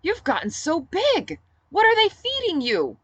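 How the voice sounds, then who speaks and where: A woman's voice: extremely high pitch, huge pitch swings, unhurried, fairly bright tone, no echo, muffled recording, voice pushed up, full character voice. A woman voicing a battle-weary, blunt rebel captain, a woman, in an audiobook story. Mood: playful. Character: weary, sharp-tongued woman rebel captain, tender with children.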